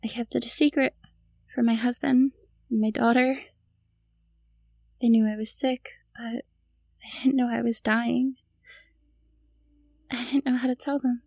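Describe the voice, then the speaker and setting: A high, close and dry voice from an actress plays a newly turned vampire woman. She is haunted by guilt and grief. Her delivery is halting and pleading, in the style of a cinematic audio drama. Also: level tone, gravelly, darkish tone, no echo. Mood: subdued, confessional. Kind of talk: cinematic audio roleplay acting.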